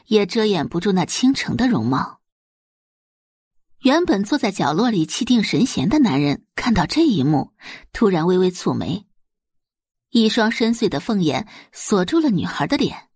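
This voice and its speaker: A woman's voice: mid-range voice, very animated, moderate pace, darkish tone, breathy edge, no echo, clean studio sound. A woman reading scripted, dramatic audiobook narration as the narrator of a story.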